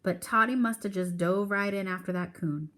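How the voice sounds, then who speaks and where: A woman's voice: medium pitch, moderate pace, a bit bright, natural voice. Woman reading as folksy old country man. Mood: earnest storytelling. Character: old Southern country man, proud, folksy coon-hunting storyteller.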